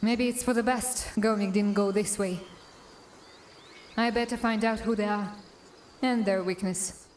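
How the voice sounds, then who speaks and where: A woman voicing a young, guarded female drow assassin in a fantasy film, speaking tersely and deliberately. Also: mid-range voice, steady tone, slight background noise, natural voice. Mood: wary, brooding. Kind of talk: cinematic fantasy voice acting.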